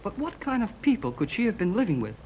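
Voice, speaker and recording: A man's voice: sits high, big pitch swings, fast. An actor playing an eager, inquisitive teenage boy with slangy, boyish delivery in 1930s radio serial style. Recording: dull, noisy 1930s radio recording.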